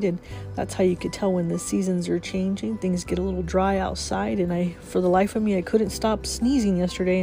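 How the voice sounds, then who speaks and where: A woman's voice: medium pitch, gravelly, mellow. A woman hosting her morning podcast, sniffly from a cold, chatting cheerfully and laughing often. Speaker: podcast host. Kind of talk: casual morning chat.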